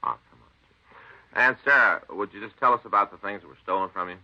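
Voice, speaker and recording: A sheepish, courteous policeman in a vintage radio drama. His low voice is sharp and swings in lively, pleading tones. The recording is old and dull. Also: brisk. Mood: embarrassed, pleading. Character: embarrassed, polite policeman visiting his doting aunt. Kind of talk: 1950s radio drama acting.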